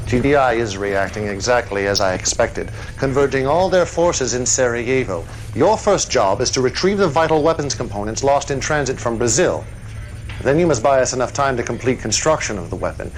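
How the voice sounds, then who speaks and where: An actor playing a messianic, menacing yet fatherly cult leader in a game cutscene, with a pompous, measured delivery like a preacher's. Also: mid-range voice, a little hiss or hum, lightly acted, low-quality recording. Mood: calm, conspiratorial. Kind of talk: live-action game cutscene acting.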